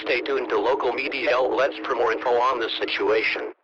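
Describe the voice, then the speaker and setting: A man's voice: medium pitch, fairly even intonation, brisk, lightly acted. A man's voice as an automated emergency alert announcer, formally reading an official bulletin in an impersonal, sober manner. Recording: dull, noisy radio-style alert broadcast.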